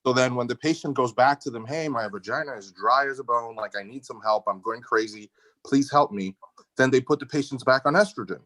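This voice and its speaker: A man's voice: medium pitch, fairly quick, a bit bright, no echo, poor audio. A middle-aged man from the Dominican Republic speaking informally and emphatically as a surgeon in a casual medical discussion. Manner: informal, opinionated, emphatic with slangy asides. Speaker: endometriosis surgeon, guest speaker. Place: video call.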